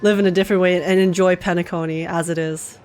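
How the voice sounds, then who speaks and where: A woman's voice: lowish voice, steady tone, quick pace, darkish tone, slight background noise. A woman streamer giving casual live reaction commentary in an informal, chatty, slangy way.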